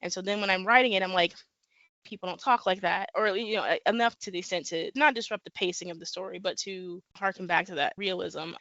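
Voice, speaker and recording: A woman's voice: medium pitch, brisk, dry recording, dull audio. A woman author from the American Midwest, chatting casually in an interview and talking animatedly with lots of fillers. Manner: animated, talks with many fillers and laughs. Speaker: guest author.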